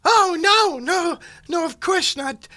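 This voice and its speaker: A man playing a tender, tearful father, halting and emotional, in radio theater style. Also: very high voice, animated, bright, forceful tone, no echo, studio quality, voice pushed up, full character voice. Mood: tearful tenderness. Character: tender, tearful family father in a Victorian tale.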